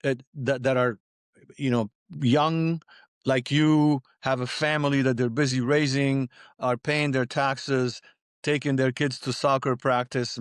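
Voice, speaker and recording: A male podcast host from Lebanon talks conversationally with a guest and audience. His delivery is halting, with repeated words, at an ordinary male pitch. The recording is dry, with little room sound. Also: no echo. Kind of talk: conversational interview introduction.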